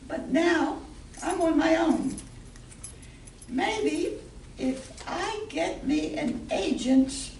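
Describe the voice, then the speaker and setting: A high-pitched barmaid acted by a woman speaks her radio-play lines with slow, stagey care. The sound is distant and echoey, as from a live stage. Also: a little mellow, exaggerated voice. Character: excitable barmaid Alice and fellow bartender Heloise. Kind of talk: amateur old-time radio play acting. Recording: echoey distant hall recording with noise.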